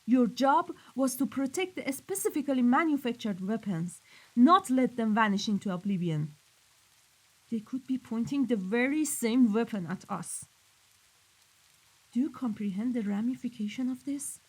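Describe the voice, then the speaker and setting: A woman's voice: on the high side, lively intonation, normal speed, breathy edge, rough recording. A woman playing a cold, commanding mafia boss in a scripted audio roleplay, speaking sternly and accusingly. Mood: cold anger. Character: cold, menacing female mafia boss dealing in weapons.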